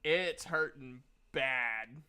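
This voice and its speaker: A man's voice: mid-range voice, extremely slow, bright, forceful tone, clear, resonant tone. A man casually narrating a tabletop game as the game master.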